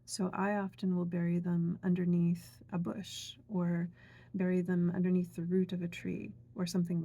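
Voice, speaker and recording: A middle-aged woman gently explaining a traditional folk craft step by step, unhurried, with many fillers and asides. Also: lowish voice, creaky. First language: English. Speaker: craft teacher. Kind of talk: calm step-by-step craft demonstration.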